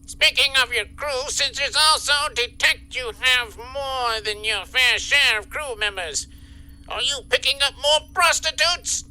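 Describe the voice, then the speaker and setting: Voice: high-pitched, measured pace, bright, forceful tone, full character voice, low-quality recording. A performer voicing a petty, gloating, theatrical boss who scolds in a comic sci-fi audio serial. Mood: scolding. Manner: scolding, mock-sorrowful, theatrical. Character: petty gloating greedy general manager boss.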